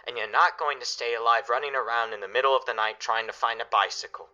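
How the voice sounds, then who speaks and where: The sound is dull and band-limited, and the voice is forceful and very bright. An actor plays a family father in a radio play, giving firm orders and steady reassurance under pressure. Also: medium pitch, fast. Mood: stern, urgent. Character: steady, commanding family father preparing for disaster. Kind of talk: radio drama acting.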